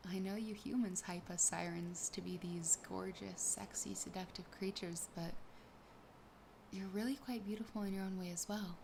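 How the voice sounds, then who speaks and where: A woman voicing a sassy, possessive, lonely siren in a soft, breathy, often whispered ASMR roleplay, slow, teasing and intimate. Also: mid-range voice, steady tone, noisy background, natural voice. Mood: tender, admiring. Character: possessive, sassy, lonely female siren toying with her catch.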